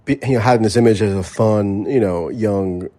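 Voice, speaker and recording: A man's voice: on the low side, normal speed, warm tone. A man hosting a call-in podcast, careful and qualifying what he says, with frequent restarts. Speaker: podcast host. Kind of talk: casual chat.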